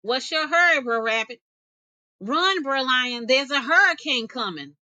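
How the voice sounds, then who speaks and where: A woman's voice: high-pitched, animated, measured pace, bright, forceful tone, no room sound, heavily acted. A woman voicing a sly, unbothered male trickster rabbit from a folk tale in folksy dialect. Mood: urgent.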